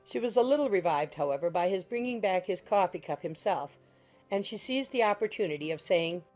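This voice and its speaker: A woman's voice: on the low side, expressive, brisk, a little mellow, soft background music. A woman reading scripted literary narration for a dramatized audiobook.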